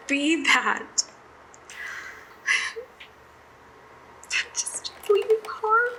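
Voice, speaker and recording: A woman playing an anxious, self-doubting young psychiatry intern in an audio drama, halting and flustered as she speaks. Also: fairly high pitch, sharp tone, a little hiss or hum, full character voice, low-quality recording. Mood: despairing. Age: young adult. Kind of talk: naturalistic audio drama acting.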